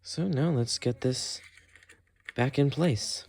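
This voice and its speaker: A man with an average-pitched voice hosts a hands-on tutorial. He talks casually and thinks aloud as he works, explaining each step in an unscripted way. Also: slow, a little mellow. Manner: thinks aloud while working. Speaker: host of a repair tutorial.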